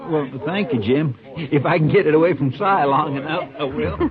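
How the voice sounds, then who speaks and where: An actor playing a good-natured, drawling frontier helper in a 1950s radio drama. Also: male voice, fairly high pitch, normal speed, crowd noise, natural voice. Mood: friendly. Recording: tinny phone-like 1950s radio recording.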